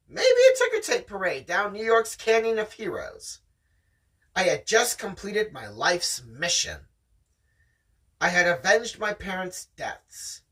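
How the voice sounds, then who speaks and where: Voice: medium pitch, fairly bright tone, husky, far from the mic, poor audio. A host reading a novel aloud in an amateur, casual storytime style, chatting along the way.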